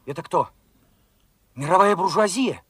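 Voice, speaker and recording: Husky, naive young revolutionary. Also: male voice, high-pitched, lively intonation, a little slow, fairly bright tone, no room sound, full character voice. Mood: excited, anxious. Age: young adult. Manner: simple, questioning, wondering. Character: eager, naive young revolutionary fighter questioning his father. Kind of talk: Soviet radio drama acting.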